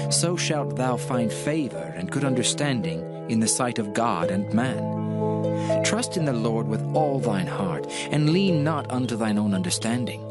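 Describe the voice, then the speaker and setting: An actor playing a solemn, measured father instructing his son in a dramatized scripture reading, with music behind him. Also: mid-range voice, lightly acted. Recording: loud music under the voice.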